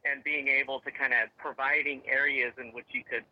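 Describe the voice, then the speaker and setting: A man's voice: mid-range voice, flat delivery, fairly quick. Guy rambling thru an interview answer, lots of you knows, muffled sound. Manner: rambling, many fillers and restarts. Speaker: tech company guest.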